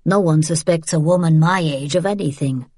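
A woman's voice: sits low, moderate pace, warm tone, slightly rough, dry recording, studio quality. A woman voicing a tough, shrewd old French farmhouse woman with a terse, knowing manner, in an audiobook.

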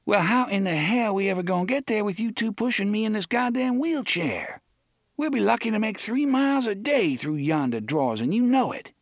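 A man voicing a gruff, sarcastic woman in a wheelchair who swears freely. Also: sits high, animated, normal speed, dry recording, clean studio sound, voice pushed up. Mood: scornful. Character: gruff sharp-tongued woman, wheelchair traveler.

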